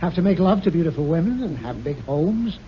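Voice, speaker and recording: Loud boastful old-time radio boss, high and bouncy, sarcastic, muffled recording. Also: male voice, big pitch swings, brisk, slight background noise. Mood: bluster. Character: blustering pompous middle-aged male boss. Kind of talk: 1950s radio sitcom acting.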